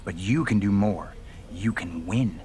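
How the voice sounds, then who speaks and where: An actor playing a confident, scheming veteran wrestler in a video game cutscene, persuasive and conspiratorial, sometimes dropping to a near whisper. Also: male voice, fairly low pitch, unhurried, mellow, a little hiss or hum, natural voice. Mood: urging, conspiratorial.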